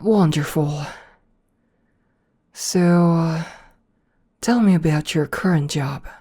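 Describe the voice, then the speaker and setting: A woman playing a rich, possessive, seductive female CEO in an ASMR roleplay, softly formal and coaxing, with a politely commanding manner. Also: deep voice, slightly rough, heavily acted. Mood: politely attentive. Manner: slow, softly formal, coaxing with pet names.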